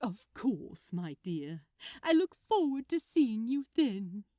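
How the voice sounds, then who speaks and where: Storybook role: gentle, delighted, well-mannered man, performed by a woman, gushing politeness, very animated pitch, dry sound. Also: slow, no room sound. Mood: warm, cheerful. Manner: bright, courteous, gushing with gratitude. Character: sweet, polite, delighted male gift-wrapper, gentle and proper. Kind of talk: storybook character dialogue in fan fiction reading.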